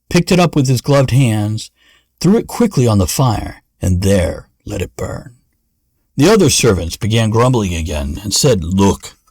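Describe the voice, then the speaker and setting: A man narrating a fairy tale in a measured, dramatic storytelling manner. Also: fairly low pitch, darkish tone, slightly rough, dry recording. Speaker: podcast narrator.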